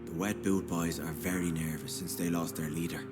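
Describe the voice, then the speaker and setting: A man's voice: low voice, fairly even intonation, a little fast, over loud music, natural voice. An actor playing a humble, earnest, apologetic clergyman in a video game. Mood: earnest, explaining. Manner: polite, earnest, gentle wording. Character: humble, apologetic clergyman, sad saint of slums. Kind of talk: video game dialogue acting.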